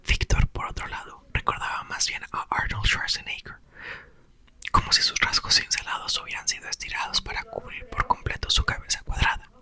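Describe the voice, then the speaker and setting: A man reads a story aloud in a breathy, intimate ASMR whisper, with soft and expressive narration. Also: brisk. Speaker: ASMR creator reading a book aloud.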